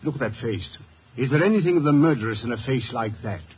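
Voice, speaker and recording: A man's voice: medium pitch, expressive, quick pace, natural voice. An actor playing a lofty, theatrical middle-aged sculptor and protective father in a 1950s radio drama, cultured and grandiloquent. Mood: condescending. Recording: muffled 1950s radio, faint noise.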